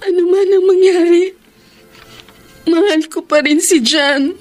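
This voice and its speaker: Casting: sorrowful Filipino woman in love, high register, emotional radio drama, light musical underscore. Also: a little slow, faint music behind. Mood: tearful devotion. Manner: melancholy, emotional. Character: sorrowful, self-sacrificing woman storekeeper in love.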